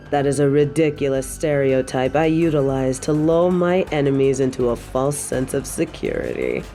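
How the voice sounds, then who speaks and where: Evil queen played by a woman, audio drama: unhurried, imperious, taunting, with a dark, mellow tone over music. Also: sits low. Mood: mocking, amused. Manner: slow, taunting, imperious, with breathy drops to a whisper. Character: evil, possessive, haughty adult queen and dictator. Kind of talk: scripted audio roleplay drama.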